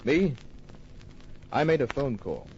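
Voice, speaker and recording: A hard-boiled private eye from vintage radio, with a mid-pitched voice and a laconic, wry delivery. The recording is dull and noisy, like an aged broadcast of a crime serial. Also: male voice, sing-song, takes it slowly. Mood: hard-boiled narration. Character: tough, wry private detective narrating his case. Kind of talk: old-time radio crime drama narration and acting.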